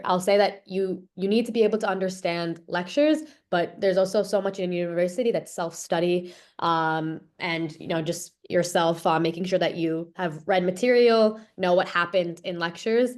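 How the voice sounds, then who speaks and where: A young woman speaking casually as a student panelist on a video call, explaining things informally. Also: lowish voice. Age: young adult.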